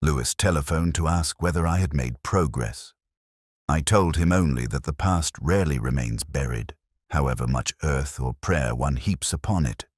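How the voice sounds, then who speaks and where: A man reading a scripted first-person detective story in a measured, literary, brooding manner. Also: rumbling low, husky, dry recording, clean studio sound. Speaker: narrator.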